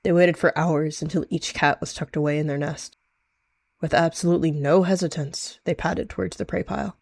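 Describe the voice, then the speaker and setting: A man's voice: a little high, steady tone, brisk, gravelly, slightly rough, no room sound. A man giving dramatic third-person narration as a player in a story roleplay.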